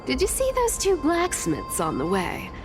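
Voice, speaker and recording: A woman voicing a sly, flippant thief in a video game, talking in a casual, teasing, expressive way. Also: high voice, unhurried, heavily acted. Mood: exasperated. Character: sly, flippant female trickster thief, defensive and sassy. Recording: background music under the voice.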